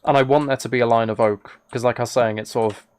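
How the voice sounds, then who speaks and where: A man's voice: mid-range voice, fairly even intonation, fast talker, smooth. A man live streaming a game, rambling and thinking aloud as he chats casually with viewers. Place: indoors at a computer, keyboard clicks behind.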